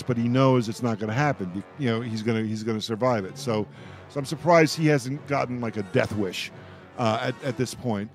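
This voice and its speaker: A man hosting a radio roundtable, talking in a casual, rambling fan-discussion style and often halting to repeat his words. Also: medium pitch.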